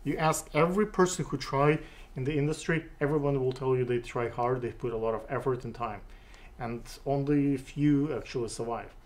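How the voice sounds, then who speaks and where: A middle-aged man with a Russian accent hosting a studio interview, asking questions haltingly with many fillers and restarts. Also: medium pitch. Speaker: interview host. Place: studio with city backdrop, seated on sofa.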